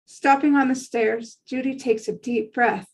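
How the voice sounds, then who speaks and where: A woman's voice: a little high, steady tone, measured pace. A woman reading screenplay scene descriptions aloud as a narrator at a table read.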